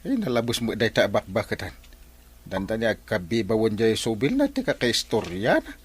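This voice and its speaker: A man's voice: on the low side, sing-song, normal speed, a little hiss or hum. A man playing a disapproving villager in an Ilocano radio comedy drama, grumbling in an Ilocano accent.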